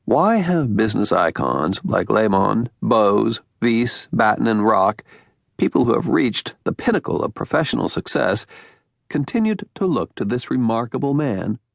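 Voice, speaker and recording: An American man reads scripted nonfiction in a gravelly, creaky voice of medium pitch. His phrasing is deliberate, with pauses for emphasis, and the recording is close and dry, as in an audiobook. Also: sing-song, no room sound. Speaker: audiobook narrator.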